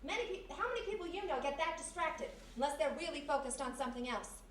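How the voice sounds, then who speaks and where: High, fast-talking radio sidekick gal, played by an actress. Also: female voice, close to an everyday voice. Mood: insistent. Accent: American. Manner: insistent, slangy, urgent. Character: quick-thinking, stubborn young woman, detective's sidekick. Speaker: actor. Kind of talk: 1940s-style radio mystery acting, live. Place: live performance in a historic house. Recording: roomy, distant live recording with faint noise.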